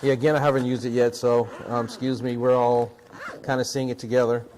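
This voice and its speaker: A man's voice: medium pitch, level tone, a little fast, a little mellow, slight background noise, rough recording. Rambling man hosting a casual unboxing. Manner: rambling, often restarts and repeats himself. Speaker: host of a tech product review show; audio and video production technician. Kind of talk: casual product unboxing talk.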